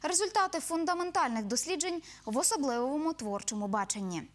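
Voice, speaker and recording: High-voiced young female news anchor. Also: lively intonation, fairly quick, a bit bright. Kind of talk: scripted news reading. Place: TV news studio.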